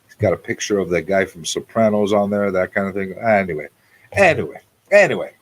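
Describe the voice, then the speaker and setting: A man's voice: sits low, animated, brisk. A man in his forties hosting a casual live talk show, repeating phrases for emphasis as he talks off the cuff. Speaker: radio show host.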